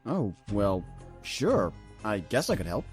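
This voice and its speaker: A man's voice: fairly low pitch, sing-song, slow pace, faint music behind. A man playing an easygoing ice cream clerk pony in an audio drama, casual and a bit folksy. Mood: laid-back. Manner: casual, laid-back, folksy. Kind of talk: fan audio drama acting.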